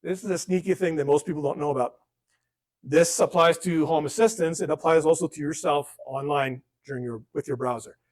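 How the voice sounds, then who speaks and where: A man's voice: a little high, fairly even intonation, a little fast. A man teaching a casual class on online safety, chatting with jokes and acting out dialogue. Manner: conversational, joking asides, acts out dialogue. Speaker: workshop instructor. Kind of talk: informal classroom lesson.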